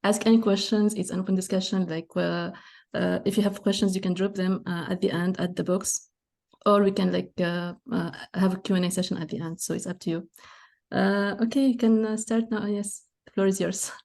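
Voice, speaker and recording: Woman hosting an online session, casual, mid pitch, lots of fry, mellow tone. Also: steady tone, fairly quick. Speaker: session host. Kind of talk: informal introduction of online session. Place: online video call.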